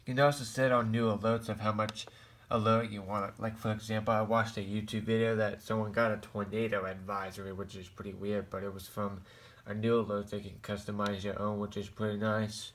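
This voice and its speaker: An American man giving a casual show-and-tell in a halting, rambling, plain-spoken way. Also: lowish voice, level tone, slight background noise. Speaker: hobbyist vlogger showing a radio collection.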